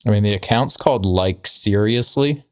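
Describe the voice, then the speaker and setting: Fairly low-voiced man bantering casually. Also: normal speed, a little mellow. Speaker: podcast co-host.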